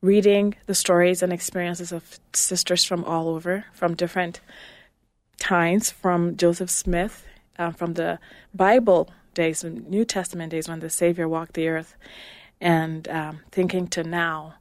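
A woman guest answering in a measured, thoughtful way. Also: on the low side, flat delivery, dry recording. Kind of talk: reflective answer.

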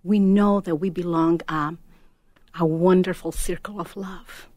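A middle-aged woman whose first language is Portuguese, speaking as a guest in a gentle, hesitant way, with many fillers. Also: on the low side, steady tone, a little slow, no room sound, clean studio sound. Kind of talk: heartfelt personal testimony.

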